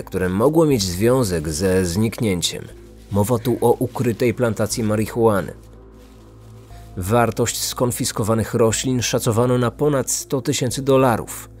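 A man's voice: lowish voice, a little mellow. Male true-crime narrator over music. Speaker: podcast host and narrator.

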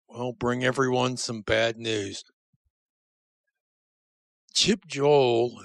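A man giving a solemn, sincere spoken tribute as a producer, in a halting way. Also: medium pitch, a bit bright, no room sound. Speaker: audio drama writer and producer.

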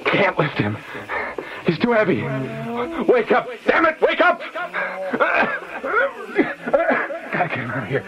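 An actor playing a panicked doctor fighting off horror in a radio play, with frantic, urgent exclamations. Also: male voice, high voice, huge pitch swings, moderate pace. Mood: straining, desperate. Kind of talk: melodramatic radio horror acting. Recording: noisy, muffled old radio recording.

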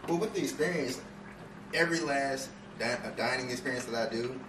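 A young man, a guest chef, calmly explaining a recipe step by step in a home kitchen, filling pauses with "you know". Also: medium pitch. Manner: steady, instructive, with fillers like "you know". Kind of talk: casual cooking demonstration. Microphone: far camera. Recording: echoey kitchen, noisy.

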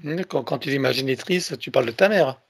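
Gravelly man in his sixties asking questions. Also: mid-range voice, a little fast, no echo. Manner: brief acknowledgments, calm probing questions. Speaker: hypnotherapist. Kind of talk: therapy intake interview. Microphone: headset on video call.

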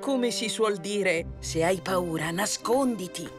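A woman storyteller giving an expressive, scripted narration of a children's fairy tale. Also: mid-range voice, slow pace, music in the background.

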